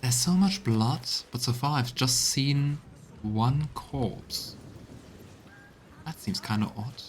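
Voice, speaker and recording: A man's voice: mid-range voice, expressive, slow, a little hiss or hum. A man doing let's play commentary as a game streamer, talking through the game and reading its texts aloud. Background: game music.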